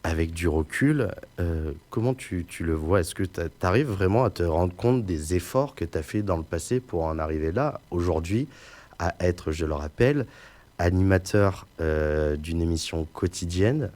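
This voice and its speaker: Deep-voiced young man asking questions. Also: animated, normal speed, a little mellow. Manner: hesitant, restarts and "euh" fillers while framing questions. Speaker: interviewer and podcast host. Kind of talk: casual interview questions.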